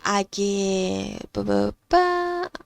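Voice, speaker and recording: A Chilean woman speaks on a podcast in a nasal, stuffed-up voice with a strong gravelly fry running through it. She explains things patiently and stops often to hum and fill pauses. The sound is dry and close, with no room around it. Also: medium pitch, expressive, no echo. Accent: Chilean Spanish. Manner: thoughtful, pauses with fillers. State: nasal from allergies. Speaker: guest psychologist.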